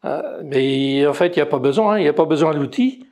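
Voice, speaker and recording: A man's voice: a little high, lively intonation, brisk, a little mellow. A retired man in his sixties from Belgium with a Belgian French accent, talking about his painting in a hesitant way, with many fillers and restarts. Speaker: amateur painter. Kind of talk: casual interview.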